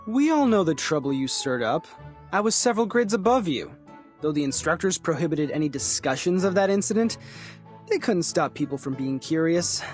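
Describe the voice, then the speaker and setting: A man's voice: a little high, lively intonation, normal speed, faint music behind, natural voice. An actor playing a chatty, eager young man in a video game, with a theatrical, persuasive manner. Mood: playful, teasing.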